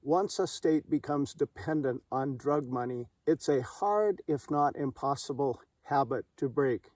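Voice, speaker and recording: A man's voice: medium pitch, darkish tone. A man delivering a scripted campaign speech, preachy and emphatic with religious conviction. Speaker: anti-legalization campaigner. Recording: roomy and distant with faint noise.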